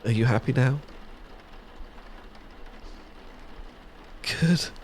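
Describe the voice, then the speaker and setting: A British man acting a nervous, smitten young man in a romantic roleplay, stammering and halting earnestly as he talks. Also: medium pitch, a little hiss or hum, natural voice. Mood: overjoyed, breathless. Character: nervous, smitten young man confessing to his best friend.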